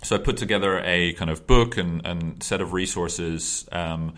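Low voiced guy hosting a podcast, lots of ums, easygoing chatter. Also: moderate pace, fairly bright tone. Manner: hesitant, many ums, loose and chatty. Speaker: podcast host. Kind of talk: casual conversation.

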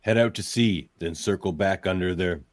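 Man acting a low, gruff mutant fighter. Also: moderate pace, no room sound, clean studio sound, close to an everyday voice. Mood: gruff, terse. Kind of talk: live radio-play read of a superhero script.

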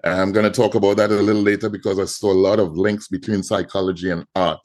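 Man, trinidadian accent, middle-aged, lowish voice, storytelling, repeats words. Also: level tone, fast talker, darkish tone. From Trinidad and Tobago. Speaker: guest artist. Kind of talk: podcast interview.

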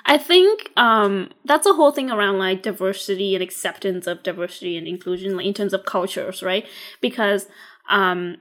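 A woman's voice: mid-range voice, normal speed, a bit bright. A young woman from Vietnam, co-hosting a podcast in casual chat, talking loosely with many fillers and restarts. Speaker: podcast co-host.

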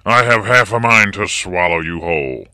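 A man voicing a huge, proud male lion who roars and booms. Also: expressive, moderate pace, bright, forceful tone, clear, resonant tone, no echo, studio quality, full character voice. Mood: angry. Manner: booming, roaring.

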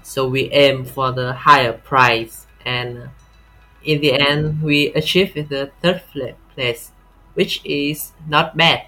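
A man from Vietnam with a Vietnamese accent, talking haltingly as a casual interview guest. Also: mid-range voice, fairly even intonation, sharp tone, faint music behind, rough recording. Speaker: podcast guest, software tester.

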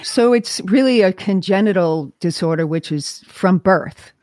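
A woman hosting an interview, asking explanatory questions and restating answers in a measured, clarifying way. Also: lowish voice, animated, a little mellow, dry recording, studio quality. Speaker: podcast host, interviewer.

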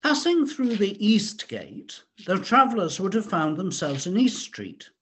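Male narrator for scripted history, voice a touch high and rough-edged, measured storyteller's delivery. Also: very animated, fairly bright tone.

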